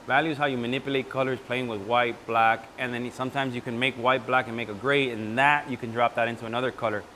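A man in his thirties leads a paint workshop in a casual, joking way, tossing out half-finished thoughts. His voice sits at an ordinary pitch and comes through a clip-on mic in an indoor room. A faint hum of room noise lies underneath. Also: pushed and bright. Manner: loose and jokey, trailing off mid-sentence. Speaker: host and paint maker. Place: indoor event room before a backdrop. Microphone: lapel mic.

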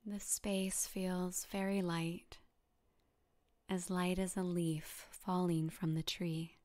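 A woman softly guiding a meditation in a breathy, half-whispered voice, calm and soothing, with gentle instructions and long pauses. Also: fairly low pitch. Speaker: yoga nidra meditation guide. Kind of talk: guided meditation narration.

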